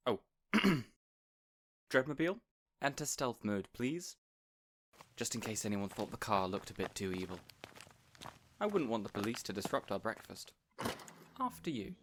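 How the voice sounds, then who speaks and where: A man's voice: on the low side, sing-song, moderate pace, long pauses, noisy background. A man playing an affable supervillain boss in an audio roleplay, chatty and awkwardly earnest, playfully bossy with an assistant. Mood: cheerful, eager. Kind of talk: audio roleplay acting addressed to listener.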